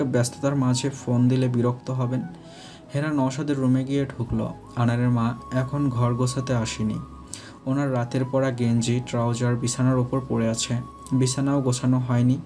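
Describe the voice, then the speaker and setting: A man's voice: medium pitch, warm tone. A man narrating a scripted romantic story.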